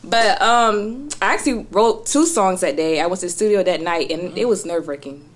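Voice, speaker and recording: A woman guest telling her story in a casual interview, chatty and relaxed, often trailing off quietly. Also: medium pitch, normal speed. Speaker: guest rapper.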